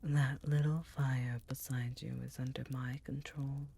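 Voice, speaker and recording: Breathy, whispering ancient succubus played by a woman. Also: very deep voice, level tone, mellow, full character voice. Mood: protective, cozy. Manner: slow, intimate, reassuring. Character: ancient succubus queen, tender, motherly, soothing demon woman. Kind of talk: ASMR roleplay.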